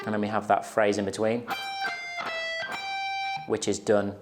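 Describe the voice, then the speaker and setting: A man's voice: on the low side, completely flat delivery, a little slow. A young man giving a casual guitar lesson in a small indoor studio, explaining step by step on a lapel mic and counting beats aloud. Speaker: guitar teacher. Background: electric guitar playing.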